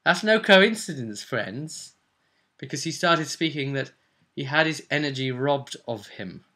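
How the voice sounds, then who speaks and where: A man's voice: medium pitch, sharp tone. A man giving a casual, rambling recap of a tabletop role-playing session as the game master.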